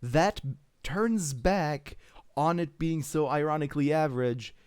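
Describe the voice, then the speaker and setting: A man's voice: fairly high pitch, animated, measured pace, a little mellow, clean tone. A man chatting casually as a podcast host, emphatic and full of swearing.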